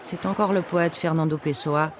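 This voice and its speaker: A man narrating a documentary in a measured, literary way. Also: fairly high pitch, fairly even intonation. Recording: busy crowd noise behind.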